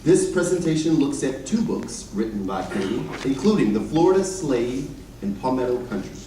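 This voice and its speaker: A man giving a formal, measured scripted narration on stage, recorded from a distance in a very echoey chapel. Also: medium pitch, sing-song, mellow. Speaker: readers theater narrator and singer. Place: large echoey indoor chapel, live performance. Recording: very echoey hall, distant mic, faint noise.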